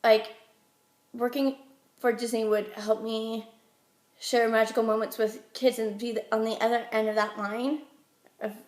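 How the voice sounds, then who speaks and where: A young woman with an American accent speaks in a higher-pitched voice, slightly under the weather with a head cold. She answers interview questions in a chatty, halting way. The recording has a clear roomy echo. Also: flat delivery, darkish tone. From Utah, United States. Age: young adult. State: getting over a head cold. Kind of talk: informal phone interview answers.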